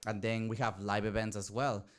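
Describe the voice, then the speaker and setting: A man's voice: fairly low pitch, level tone, moderate pace. A man, an art director, describing his work in casual podcast chat, hesitant and full of fillers. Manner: hesitant, many fillers and restarts.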